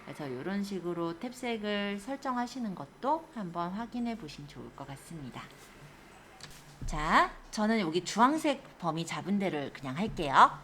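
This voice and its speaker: A woman instructor giving an informal step-by-step software tutorial, explaining things and often checking that learners follow. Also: medium pitch, very animated, moderate pace, a little hiss or hum. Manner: explanatory, often checks understanding with tag questions. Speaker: computer skills instructor.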